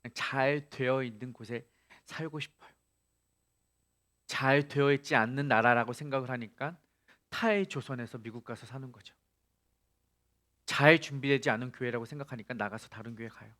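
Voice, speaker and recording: Male pastor preaching, lots of rhetorical questions, builds up loud at points, mid pitch. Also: moderate pace. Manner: rhetorical questions to the congregation, rising to emphatic peaks. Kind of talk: sermon.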